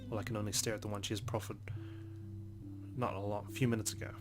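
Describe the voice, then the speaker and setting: A man hosting a let's play, reading visual novel narration aloud in a measured way with the odd stumble over words. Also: lowish voice, little pitch movement. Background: prominent game music with plucked guitar.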